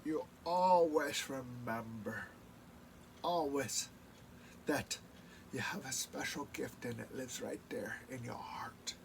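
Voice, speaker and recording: A man voicing his elderly grandmother gently advising her grandson, soft and whispery. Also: mid-range voice, slow, gravelly, recorded from a distance, a little hiss or hum. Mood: tender.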